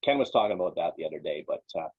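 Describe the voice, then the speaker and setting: A man's voice: low-pitched, lively intonation, rapid-fire, slightly rough, dry recording, lo-fi sound. A man hosting a casual panel over a video call, rambling with many fillers and restarts. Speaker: panel host.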